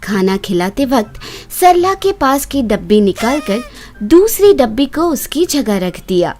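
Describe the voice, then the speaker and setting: A woman's voice: a little high, big pitch swings, a little slow, darkish tone, faint music behind. A woman reading scripted narration for a children's moral story.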